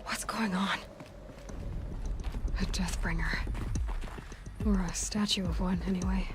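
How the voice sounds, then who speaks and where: An actress playing a young, curious and determined explorer in a video game, musing to herself in a hushed, wondering way. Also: female voice, fairly low pitch, steady tone, slow pace. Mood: puzzled. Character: young female explorer, curious, determined, puzzled by ancient ruins. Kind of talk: video game cutscene acting.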